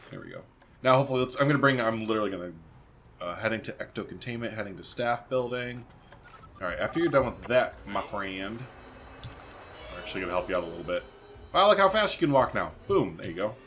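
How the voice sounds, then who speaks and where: A man's voice: on the low side, lively intonation, quick pace, a bit bright, soft background music. A man hosting a let's-play video, chatting informally and thinking aloud as he plays. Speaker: gaming video host. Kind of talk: casual let's-play commentary. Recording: faint background noise and game ambience.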